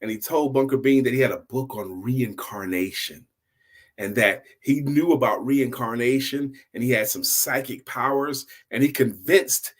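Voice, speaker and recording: A man's voice: medium pitch, normal speed. A middle-aged man hosting an informal motivational storytime, repeating key phrases for emphasis like a seasoned speaker. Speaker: storytelling host, sales coach and speaker.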